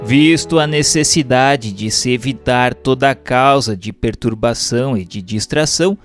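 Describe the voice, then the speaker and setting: A man with a Brazilian accent reading a book aloud as a formal, measured, didactic narration. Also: medium pitch, soft background music.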